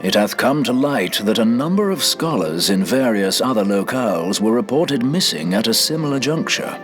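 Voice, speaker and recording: A man acting a grave fantasy-game mystic with a mid-pitched voice. He speaks in measured, archaic, courtly phrases over faint music. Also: expressive, lightly acted. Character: solemn male mystic scholar, courtly and archaic.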